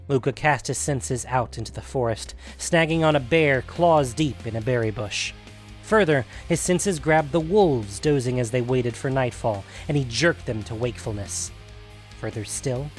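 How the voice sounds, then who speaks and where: A man reading a scripted fantasy story as a dramatic narrator. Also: medium pitch.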